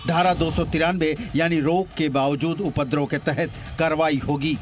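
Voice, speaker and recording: A man's voice: on the high side, steady tone, moderate pace. A man delivering an emphatic, scripted television news report as a reporter. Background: music bed.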